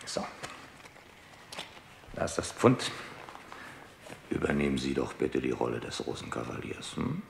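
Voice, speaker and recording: A man playing a shrewd, courteous chief inspector with gentle irony in a 1960s German radio crime play. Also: rumbling low, very animated, gravelly, heavily acted. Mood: wry, genial. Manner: measured, courteous, wry. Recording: roomy 1960s radio recording, faint noise.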